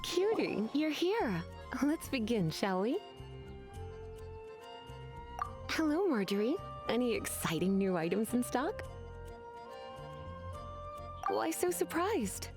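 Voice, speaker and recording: An actress voicing a languid, teasing librarian witch in a video game, sultry and coy, with a quietly ominous edge. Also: fairly high pitch, big pitch swings, over music. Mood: playful, smooth. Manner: sultry, unhurried, sighing, coy.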